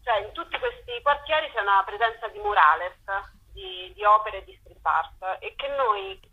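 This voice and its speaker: A woman's voice: medium pitch, moderate pace, husky, phone-quality sound. A woman curator explaining a festival program in a radio interview, thinking aloud with frequent fillers.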